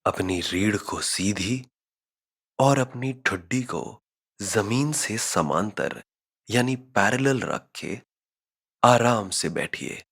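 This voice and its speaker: Male meditation guide: low register, very slow measured delivery, husky edge to the tone. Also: animated, fairly bright tone. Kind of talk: scripted guided meditation narration.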